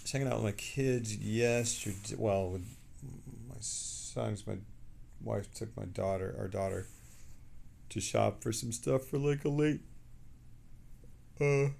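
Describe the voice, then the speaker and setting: Low, gravelly, tired man. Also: a little mellow, slight background noise. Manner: rambling, halting, trailing off mid-sentence. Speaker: livestreamer. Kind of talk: casual livestream chat.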